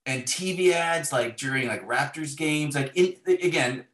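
A man chatting casually as an interview guest, circling through his phrases in a halting way. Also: fairly high pitch, a bit bright. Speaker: guest, novelist. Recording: roomy, echoey sound.

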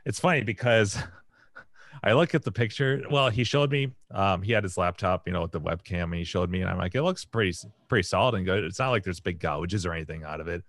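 A man with a hoarse, raspy voice, hosting a podcast and telling a long casual story full of fillers and restarts. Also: deep voice, fairly quick, a bit bright, no echo. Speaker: podcast host. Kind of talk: casual chat.

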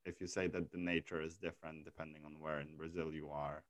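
A man's voice: extremely low pitch, completely flat delivery, quick pace, no room sound. A man hosting a casual chat, asking curious questions with frequent stammered restarts. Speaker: host.

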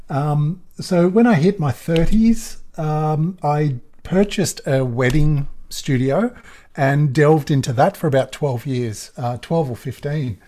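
A middle-aged Australian man with a croaky voice, a photographer chatting easily as a podcast guest and laughing often. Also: mid-range voice, warm tone. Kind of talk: casual podcast interview.

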